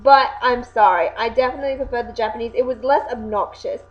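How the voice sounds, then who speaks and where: A young woman co-hosting a casual video, chatting excitably and often correcting herself. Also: fairly high pitch, quick pace. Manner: animated, self-correcting, excitable. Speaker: video co-host reviewing anime voice acting. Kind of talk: casual chat. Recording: dull lo-fi home recording.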